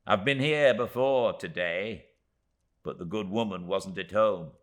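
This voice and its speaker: A man's voice: on the low side, a little slow, voice pushed up, lightly acted. A man reading a storybook and voicing a tiny, emphatic, indignant middle-aged woman in distress. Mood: urgent.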